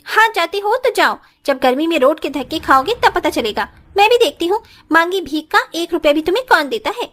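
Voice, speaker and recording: A woman voices a spoiled, arrogant young rich daughter-in-law in a cartoon. Her voice is extremely high and swings widely in pitch. She talks down to people with haughty, cutting scorn. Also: sing-song, brisk, few pauses, heavily acted. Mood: scornful. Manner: haughty, scornful, sharp-tongued. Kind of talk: Hindi moral story cartoon acting.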